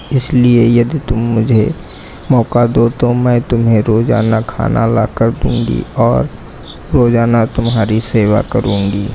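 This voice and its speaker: A man narrating a scripted children's story in a plain, deliberate storytelling manner. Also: medium pitch, creaky, mellow, a little hiss or hum, low-quality recording.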